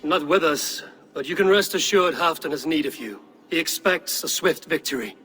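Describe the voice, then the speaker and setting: Steady Viking fighter, fairly high male voice, forceful edge, terse, direct, dry wit, game drama. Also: normal speed. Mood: firm. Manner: terse, direct, level-headed. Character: blunt, steady Viking warrior, stern and dry-witted. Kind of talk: video game dramatic acting.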